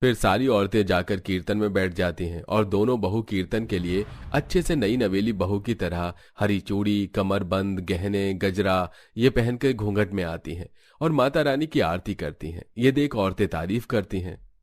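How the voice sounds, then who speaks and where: A man's voice: fairly low pitch, moderate pace, dry recording. A man reading scripted narration as the storyteller of a family tale.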